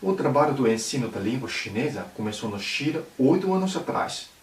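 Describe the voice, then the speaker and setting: A man's voice: mid-range voice, sing-song, a little fast, reverberant, far from the mic, soft background music. A man reading a scripted radio report on a hissy recording.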